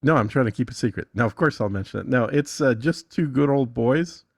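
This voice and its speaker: A man's voice: mid-range voice, expressive, fairly quick, no echo, clean studio sound. A man from the southern US talking casually and explaining things as a podcast guest.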